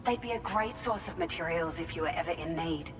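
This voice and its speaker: An actress playing a caring, chatty facility worker in a video game, speaking in a grateful, concerned, conversational way. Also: female voice, lowish voice, fairly quick, natural voice. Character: warm-hearted, concerned facility worker receiving cargo. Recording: long reverberant hall, clear background noise.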